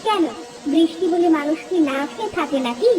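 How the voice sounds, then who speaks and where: Woman acting a high-voiced nagging village housewife. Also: animated, brisk, distant mic, noisy background. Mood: scolding. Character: scolding, bossy village housewife fed up with lazy husband. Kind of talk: animated fairy-tale cartoon dialogue.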